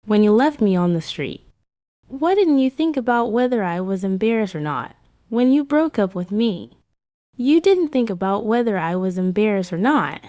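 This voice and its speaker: A woman's voice: mid-range voice, sing-song, moderate pace, dark tone, dry recording, studio quality. A woman giving a scripted first-person narration for a romance comic dub.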